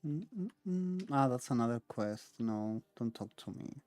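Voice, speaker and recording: Very low-voiced woman streaming games. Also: expressive, takes it slowly, darkish tone, no room sound, faint music behind. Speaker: game streamer. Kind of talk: casual gameplay commentary.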